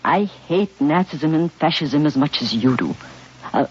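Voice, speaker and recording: An actress in a 1950s radio drama playing a poised, persuasive baroness spy who talks in a coaxing, theatrical manner. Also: female voice, deep voice, lively intonation, unhurried, husky, full character voice. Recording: dull old radio recording with faint music.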